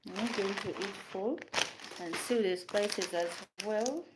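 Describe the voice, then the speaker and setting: A woman's voice: mid-range voice, measured pace. A young woman from Uganda with a Ugandan accent, a native Luganda speaker, chatting casually with viewers while cooking. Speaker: vlogger. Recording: noisy home recording.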